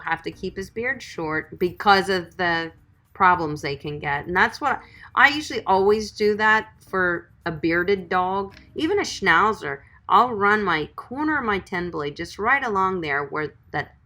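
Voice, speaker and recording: A woman's voice: mid-range voice, creaky, pushed and bright. A woman dog groomer chatting on a livestream, reading viewer comments and giving friendly, encouraging advice. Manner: chatty, encouraging, calls viewers sweetie and guys.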